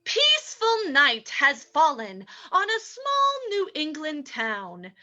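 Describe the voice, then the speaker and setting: A woman's voice: high voice, huge pitch swings, takes it slowly, sharp tone. A woman announcer hosting and narrating an old-time radio show reading.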